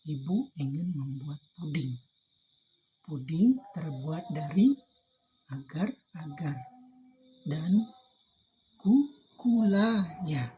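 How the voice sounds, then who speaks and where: A woman's voice: sits low, lively intonation, drawn-out words, pauses often, mellow, soft background music, poor audio. A woman reading a children's story aloud expressively, engaging young listeners.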